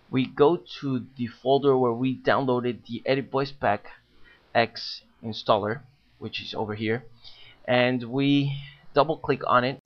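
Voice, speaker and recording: A man narrating a step-by-step software walkthrough in a plain explanatory way, with frequent "uh" fillers. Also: mid-range voice, muffled recording. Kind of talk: screen-recorded software walkthrough.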